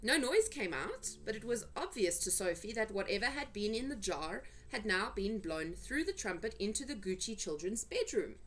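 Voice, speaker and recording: A woman's voice: mid-range voice, sing-song, normal speed, hardly any pauses, a little hiss or hum. A woman reading a children's story aloud, with dramatic, suspenseful delivery and emphasized words. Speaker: amateur storyteller reading for family. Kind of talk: expressive children's storybook narration.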